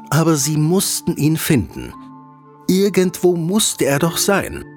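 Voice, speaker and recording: Very animated, unhurried male storyteller. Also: mid-range voice. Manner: expressive, deliberate storyteller phrasing. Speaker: narrator. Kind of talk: scripted storytelling for children's audio drama.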